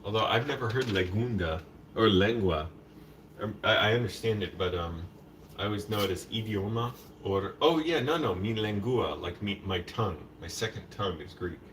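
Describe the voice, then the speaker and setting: A man's voice: fairly low pitch, animated, moderate pace, fairly bright tone. A man casually chatting on a live stream while preparing food in his kitchen, rambling to himself and dropping in Spanish words. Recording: distant mic with noticeable background noise.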